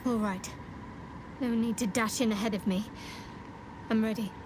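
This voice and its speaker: A woman's voice: fairly high pitch, fairly even intonation, moderate pace, noisy background, close to an everyday voice. An actress playing a guarded, sardonic young female cleric in a video game cutscene. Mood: earnest.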